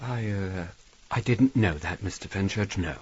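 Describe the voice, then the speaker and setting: An actor playing a nervous, deferential employee in a radio drama, polite and hesitant. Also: male voice, low-pitched, a little slow, darkish tone, husky, highs cut off. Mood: uneasy. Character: deferential, nervous male employee eager to please.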